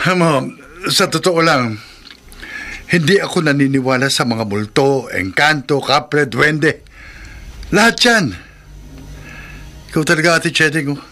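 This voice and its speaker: High-voiced, jumpy, put-upon husband. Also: male voice, lively intonation, unhurried, pauses often, bright, forceful tone, heavily acted. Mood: startled, skeptical. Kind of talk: horror radio drama acting.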